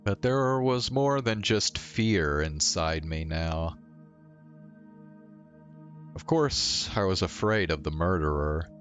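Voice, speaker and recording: A man's voice: low-pitched, animated, slow pace, pauses often, a bit bright. A man reading visual novel narration aloud in a dramatic first-person storytelling style. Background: faint game music.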